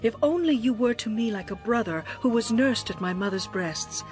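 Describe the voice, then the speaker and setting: A woman playing a passionate, devoted young bride in a dramatized scripture reading, with a tender, expressive, poetic delivery. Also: a little high, normal speed, soft background music, natural voice. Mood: tender longing.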